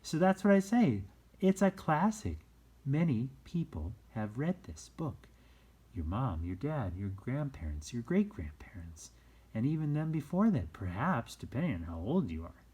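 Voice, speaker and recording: Mellow, husky, animated storyteller. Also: mid-range voice, a little slow. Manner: dramatic, with hushed dips and emphatic rises. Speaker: children's story reader. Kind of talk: expressive read-aloud storytelling.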